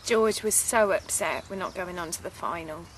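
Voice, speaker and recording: A woman's voice: fairly low pitch, moderate pace, slight background noise, natural voice, low-quality recording. An actress playing an aggrieved working-class young mother in a radio drama, earnest and pressing. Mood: harried. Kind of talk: naturalistic radio drama acting.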